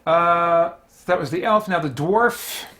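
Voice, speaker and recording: A man's voice: a little high, a little slow, sharp tone. A man hosting a solo tabletop gaming stream, giving casual running commentary and thinking aloud as he talks through each move. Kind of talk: casual running commentary while playing a board game.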